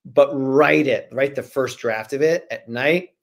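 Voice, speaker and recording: A man in his forties, a guest author chatting casually on a video call, repeating phrases for emphasis in an animated way. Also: mid-range voice, unhurried. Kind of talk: casual interview chat. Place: small room at home, indoors. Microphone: video call, computer microphone.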